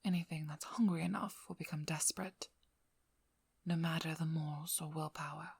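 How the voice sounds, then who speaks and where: A woman playing a stern, wry, protective vampire hunter in an intimate ASMR role-play, speaking in a measured, firm voice that often drops to a whisper. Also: on the low side, gravelly, breathy edge, no echo. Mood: earnest, wry. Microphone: close microphone.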